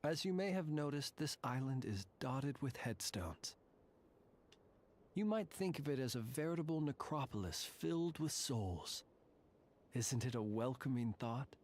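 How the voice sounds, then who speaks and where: An actor playing a solemn, formal and courteous guardian of haunted tombs in a video game. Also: male voice, mid-range voice, animated, darkish tone. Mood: solemn welcome. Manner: formal, measured, ornate courteous speech. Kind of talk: video game character dialogue.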